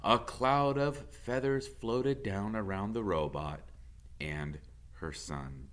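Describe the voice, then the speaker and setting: A man's voice: lowish voice, slow, a bit bright. A middle-aged American man narrating a children's storybook aloud with expression, like a teacher to a class. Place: classroom with whiteboard, indoors.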